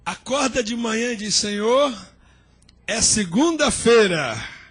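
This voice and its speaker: A middle-aged male pastor preaching a fiery sermon in a church, shouting and chanting in a sing-song way as he calls on the congregation. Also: high-pitched, lively intonation, slow, husky.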